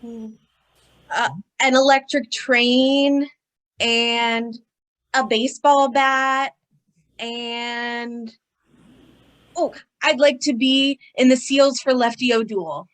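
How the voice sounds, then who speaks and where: A woman's voice: a little high, steady tone. A woman playing an eager, hesitant five-year-old boy in a radio drama. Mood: shy, excited. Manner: slow, hesitant, childlike.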